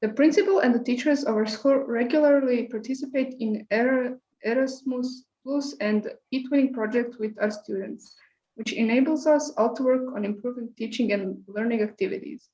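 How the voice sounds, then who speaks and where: A woman's voice: a little high, normal speed, dark tone. A woman reading a prepared presentation about a school. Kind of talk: scripted presentation read aloud. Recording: roomy echo, dull lo-fi sound.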